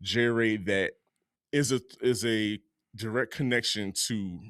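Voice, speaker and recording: A man with a fairly low voice talks casually as a podcast co-host. He is emphatic and repeats words for effect. The sound is close and dry. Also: steady tone, a little slow, a bit bright, dry recording.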